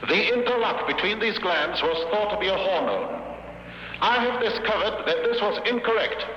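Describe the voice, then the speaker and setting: A villainous scientist, high-voiced and formal, savoring his menace with mock courtesy. It is old radio drama, muffled and ringing with long echo over noticeable hiss. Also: expressive, normal speed. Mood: gloating, pompous. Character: gloating villainous German mad scientist, pompous and menacing. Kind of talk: 1950s radio drama acting. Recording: muffled, noisy, echoing vintage radio recording.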